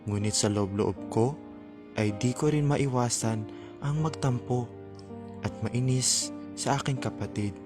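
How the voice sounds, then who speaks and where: A man's voice: mid-range voice, measured pace, long pauses, lightly acted. A man playing a radio DJ, reading a listener's heartfelt letter as dramatic narration over background music. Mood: earnest, heartfelt. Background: clear background music.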